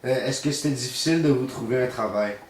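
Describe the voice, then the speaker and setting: A man's voice: medium pitch, fast. A man interviewing, reading out plain, direct questions. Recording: echoey room.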